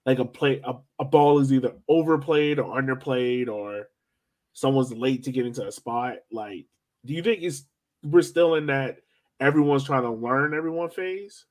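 Male sports podcast co-host, medium pitch, informal fan talk with casual slang. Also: moderate pace, darkish tone.

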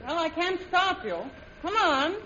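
An actress playing a tough, defiant, sharp-tongued adventuress in an old radio serial, brassy and sarcastic in slangy speech. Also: female voice, extremely high pitch, animated, measured pace. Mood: defiant scorn. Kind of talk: 1930s radio serial acting. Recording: muffled old radio recording with hiss.

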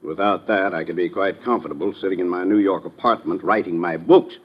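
An actor playing a fussy, pompous little folklore professor in an old radio drama, with formal, precise diction. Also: male voice, low-pitched, fairly quick, slightly rough. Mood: fussy, complaining. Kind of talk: 1950s radio drama acting. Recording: dull old radio recording.

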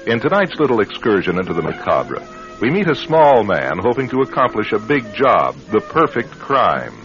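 A man's voice: fairly low pitch, very animated, moderate pace, a bit bright. A man hosting and narrating a radio drama in a theatrical, suspenseful scripted style. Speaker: narrator and host. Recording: dull radio recording with music.

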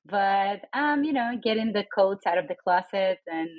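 A woman's voice: mid-range voice, expressive, moderate pace, no room sound, muffled recording. A woman from Puerto Rico, an author and professor being interviewed, speaking thoughtfully with many fillers. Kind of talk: interview, casual conversation.

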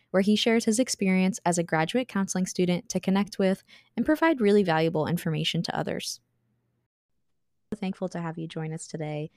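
A woman therapist hosting her podcast, reading a scripted intro and then interviewing calmly. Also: lowish voice, no echo, studio quality.

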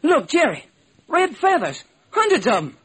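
Eager american police lieutenant from old radio, really high pitched and raspy, swings all over. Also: male voice, wildly swooping pitch, normal speed, fairly bright tone, exaggerated voice. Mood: insistent, confident. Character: eager, dogged male homicide police lieutenant. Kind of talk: old-time radio detective drama acting.

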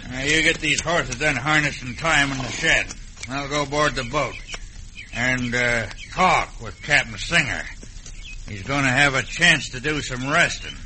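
An actor playing a scheming American outlaw ringleader, folksy and commanding, in an old radio western. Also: male voice, medium pitch, close to an everyday voice. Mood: bossy. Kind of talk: classic radio western acting. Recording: noisy old radio recording.

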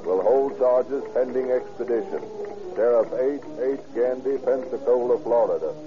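A man's voice: medium pitch, normal speed, over music, close to an everyday voice. An actor playing a businesslike policeman with a clipped, official manner in a 1930s radio crime drama. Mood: formal, official. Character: businesslike male policeman and sheriff. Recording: tinny old radio recording.

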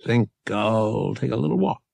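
A man's voice: lowish voice, lively intonation, normal speed, vocal fry, darkish tone, no echo, heavily acted. A man voicing a wry, good-natured young rogue with a dry, casual way of talking. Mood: casual, uneasy.

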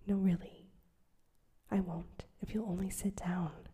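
A woman voicing a proud, gentle young woman who refuses a suitor, in a soft whisper. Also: mid-range voice, steady tone, measured pace, husky. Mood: firm, wistful. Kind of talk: whispered audiobook reading.

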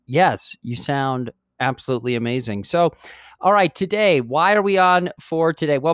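A man's voice: medium pitch, no echo, studio quality. A man hosting his own podcast, talking solo in a chatty, rambling way and often correcting himself mid-sentence. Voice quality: smooth. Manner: chatty, digressive, self-correcting. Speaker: podcast host. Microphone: studio mic.

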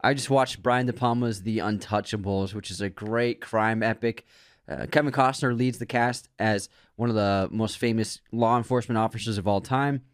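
Podcast co-host, male, lower voice, eager rambling film talk, dry close mic. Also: fairly even intonation, normal speed, clear, resonant tone, no echo. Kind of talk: casual chat.

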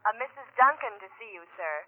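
An actress playing a flustered, dutiful American office secretary in a 1940s radio drama. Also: female voice, fairly high pitch, lively intonation, fast talker. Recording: tinny, very noisy old radio broadcast.

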